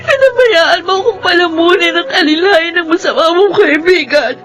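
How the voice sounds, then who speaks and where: An actress playing a bitter, resentful young housemaid abandoned as a child, in a Filipino radio drama with a Filipino accent. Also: female voice, extremely high pitch, lively intonation, normal speed, fairly bright tone. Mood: anguished, furious. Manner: curt, accusing, emotional delivery.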